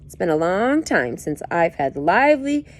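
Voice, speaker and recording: A woman voicing a jovial, skeptical English gentleman with a hearty, slightly lifted delivery. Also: on the low side, big pitch swings, normal speed. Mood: amused.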